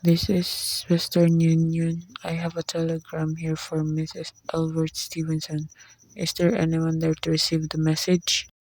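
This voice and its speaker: A woman's voice: low voice, monotone, unhurried, a little mellow, no room sound, low-quality recording. A woman playing a formal, impassive telegraph clerk in a radio play, reciting in a detached way.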